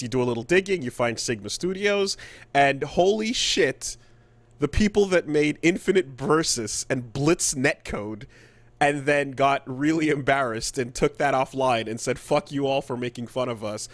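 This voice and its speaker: A man's voice: medium pitch, no echo. A man co-hosting a podcast, telling gaming news in casual, profane, comedic chat, acting out quotes as he goes. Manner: animated storytelling with acted-out quotes. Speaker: podcast co-host.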